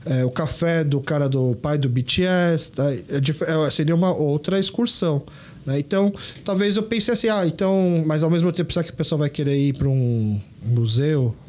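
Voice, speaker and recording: A man in his thirties talks at a relaxed podcast pace, looping through anecdotes and restarting his sentences often. His voice sits a little higher than most men's. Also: moderate pace. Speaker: podcast host. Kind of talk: casual chat.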